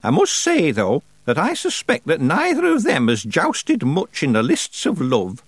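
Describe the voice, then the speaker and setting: A man voicing an ancient female witch, stern and sly, in a slow, drawn-out, lofty manner for an audiobook. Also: on the high side, wildly swooping pitch, husky, no echo, voice pushed up, full character voice. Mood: teasing.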